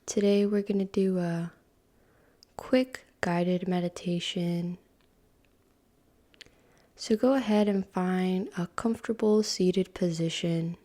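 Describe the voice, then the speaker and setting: Very slow, soft-voiced woman guiding meditation. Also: lowish voice. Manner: calm, unhurried, long pauses. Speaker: meditation guide. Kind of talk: guided meditation.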